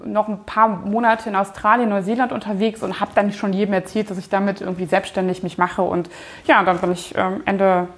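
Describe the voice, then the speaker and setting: Female, thirties, mid-pitched; casual interviewee who hedges and thinks out loud. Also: little pitch movement, brisk. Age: 30s. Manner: informal, hedging, thinking aloud. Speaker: company founder and managing director, interview guest. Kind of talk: casual interview answers, looking back.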